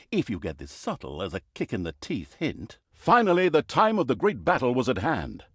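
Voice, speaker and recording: Fantasy game narrator, male: pompous, sardonic, mock-epic, hugely animated delivery on a higher-set voice. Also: no echo, studio quality. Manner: wry, mocking, grandiose, with swooping emphasis. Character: sardonic, pompous storyteller of a fantasy villain's campaign.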